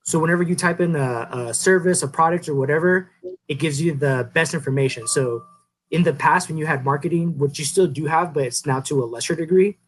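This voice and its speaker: A man in his thirties co-hosting a podcast, explaining things in a relaxed, rambling way with many fillers. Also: on the high side, brisk, slight background noise, poor audio. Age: 30s. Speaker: podcast co-host. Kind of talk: casual podcast chat.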